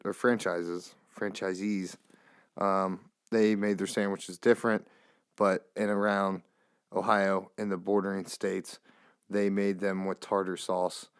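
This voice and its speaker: A man with a low, nasal voice hosts his podcast in a loose, meandering ramble full of casual swearing. His tone is gravelly yet smooth and resonant underneath. The recording is dry and close, with no room sound. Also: no room sound. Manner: loose and profane, meandering asides. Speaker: podcast host.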